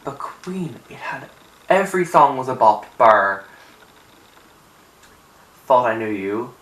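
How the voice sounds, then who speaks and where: Raspy just-woke-up young man, roomy sound. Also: mid-range voice, sing-song, slow pace, fairly bright tone. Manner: chatty, rambling, emphatic with filler "like". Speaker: music vlogger. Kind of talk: casual opinion chat to camera. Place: small untidy room indoors. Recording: roomy, distant camera sound with faint hiss.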